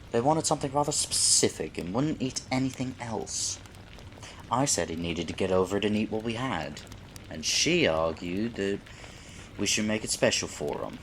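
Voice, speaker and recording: A man playing a kindly, fatherly businessman husband in a roleplay audio drama, speaking in a measured, frank, gently probing way. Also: fairly low pitch, a little hiss or hum, rough recording. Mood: mildly exasperated.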